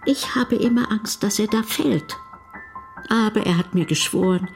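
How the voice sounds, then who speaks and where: A woman's voice: medium pitch, unhurried, vocal fry, soft background music. An actress playing an elderly, caring wife who tells her story in a plain, conversational radio drama monologue. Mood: worried. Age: older. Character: elderly devoted wife, caring, worried, quietly amused.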